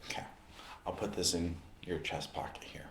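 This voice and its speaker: A man speaking in an ASMR roleplay, addressing the listener directly in short, unhurried phrases with pauses. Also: deep voice, fairly even intonation, creaky, fairly bright tone, roomy sound, slight background noise. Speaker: ASMR performer playing a basketball recovery expert.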